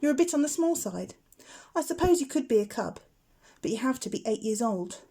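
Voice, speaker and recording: Lady reading as big boy scout, breezy n a bit mocking, storybook style, husky mellow voice. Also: on the high side, expressive, brisk. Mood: teasing. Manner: breezy, exclaiming, gently mocking. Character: cheerful, teasing, matter-of-fact big Boy Scout.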